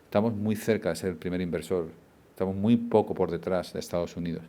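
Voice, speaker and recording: Deep-voiced man, event speech, rambling, many restarts. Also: fairly even intonation, fast talker, dark tone. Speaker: law firm partner giving a speech.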